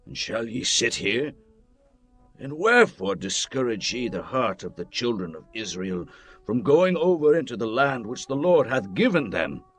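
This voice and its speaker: A man's voice: on the low side, fairly bright tone. An actor playing Moses as a stern male leader rebuking his people, in a solemn, formal scripture dramatization. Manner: solemn, admonishing, formal archaic phrasing. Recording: music behind the voice.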